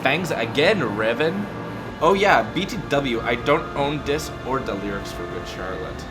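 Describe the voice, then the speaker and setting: A man voicing a petulant, excitable teenage girl fan-fiction author, whiny, demanding and emphatic, in a comedic reading. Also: medium pitch, unhurried, natural voice. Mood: petulant, indignant. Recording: loud music behind the voice.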